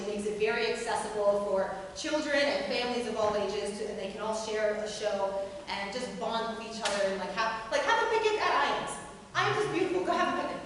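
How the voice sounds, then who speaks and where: High-voiced woman speaking in an echoey hall. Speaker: actor speaking for a charity. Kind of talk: informal spoken appeal to an audience. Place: indoor stage before an audience. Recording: very echoey hall, distant mic, faint noise.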